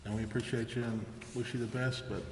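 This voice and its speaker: A man's voice: mid-range voice, little pitch movement, quick pace. An American man giving matter-of-fact remarks as a council member in a public meeting. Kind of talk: deliberative public meeting discussion. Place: indoor council chamber. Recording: echoey meeting chamber with noticeable background noise.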